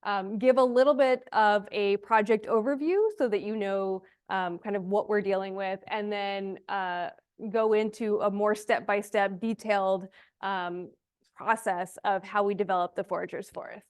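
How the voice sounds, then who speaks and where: Young female conference presenter, smooth clean voice, informal and explanatory. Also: medium pitch, steady tone, moderate pace. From Honduras and Texas. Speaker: speaker, ecological restoration student and forest project lead.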